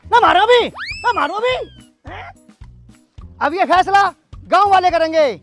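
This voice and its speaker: A man's voice: very high voice, lively intonation, unhurried, a bit bright, soft background music, exaggerated voice. A man playing a bossy, exasperated village schoolmaster in a comedy sketch, scolding and commanding. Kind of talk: broad comedy sketch acting.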